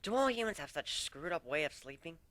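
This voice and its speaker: A man's voice: fairly high pitch, sing-song, brisk, a bit bright, dry recording, voice pushed up. A man voicing a sultry female griffin lover, with a purring, teasing delivery in a dramatic story reading.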